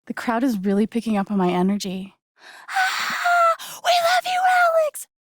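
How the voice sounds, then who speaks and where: A woman's voice: fairly high pitch, wildly swooping pitch, moderate pace, a bit bright, no room sound, heavily acted. An actress playing a candid, wry young woman in a video game, speaking in a plain, conversational way. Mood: playful, triumphant. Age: young adult. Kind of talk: naturalistic video game acting.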